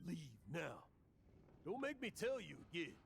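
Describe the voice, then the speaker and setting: An actor playing a weary, guilt-ridden old drunken adventurer in a video game, with a gravelly voice and dramatic, halting delivery. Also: male voice, a little high, very animated, noisy background, heavily acted. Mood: gruff, weary. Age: older.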